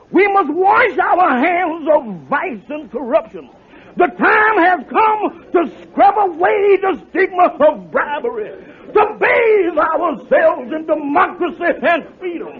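An actor playing a blustering, pompous, shifty windbag in an old-time radio comedy, bombastic and ungrammatical. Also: male voice, very high voice, heavily acted. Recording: tinny vintage radio broadcast.

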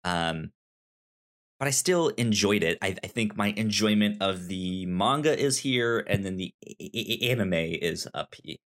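A man co-hosting a podcast, giving a casual review in a hesitant, halting way, repeating words as he searches for phrasing. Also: lowish voice, expressive, fairly bright tone. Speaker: podcast co-host. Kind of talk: casual conversational book review.

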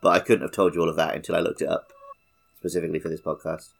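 A man's voice: very deep voice, little pitch movement, very fast, gravelly. A man co-hosting a podcast, explaining things in a casual, conversational way. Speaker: podcast host.

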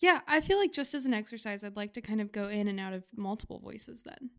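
Mid-pitched woman in casual comedy chat. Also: lively intonation, quick pace, fairly bright tone. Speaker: podcast co-host.

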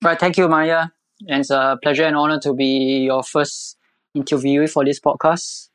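Male podcast guest, Singaporean accent. Conversational, unhurried phrasing with hesitations, very little room sound. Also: on the high side, flat delivery, clear, resonant tone, no room sound. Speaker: podcast guest, environmental educator. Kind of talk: conversational interview answers.

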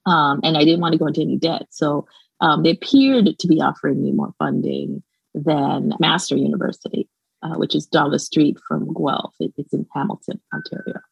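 A woman's voice: low-pitched, fairly even intonation, normal speed, gravelly, breathy edge, no room sound, muffled recording. A Canadian woman with a light Jamaican lilt, speaking as a guest scholar in a casual interview, rambling with many restarts and fillers and laughing often.

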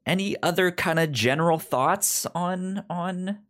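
A man hosting a podcast, casually discussing a book in a halting way, often repeating words. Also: high voice, fairly bright tone. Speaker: podcast host.

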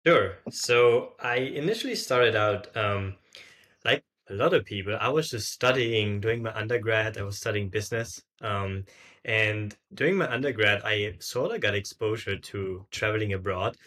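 A young man chats as an interview guest. His voice sits slightly low but has a bright, forward edge. He tells his story loosely and informally, with plenty of conversational filler words. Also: moderate pace. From Austria. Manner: informal, frequent "like" and "sorta". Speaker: podcast guest, entrepreneur.

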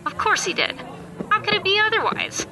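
A female actor plays a theatrical serial narrator with a high voice. She addresses the listener dramatically over music, as in a comic radio play. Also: big pitch swings, a little fast, exaggerated voice, low-quality recording. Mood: arch, dramatic.

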